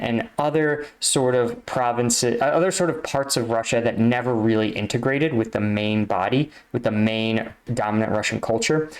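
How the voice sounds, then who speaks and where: A man giving off-the-cuff explanatory commentary as a reaction video host, analytical and halting as he searches for words. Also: medium pitch. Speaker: reaction video host, army combat veteran.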